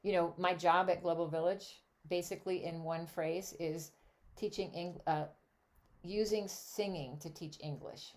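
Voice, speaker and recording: A woman's voice: lowish voice, fairly even intonation, unhurried, darkish tone. An older woman born in Switzerland, a native German speaker, chatting as a guest songleader in a casual interview, rambling with many asides. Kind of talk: casual video chat interview.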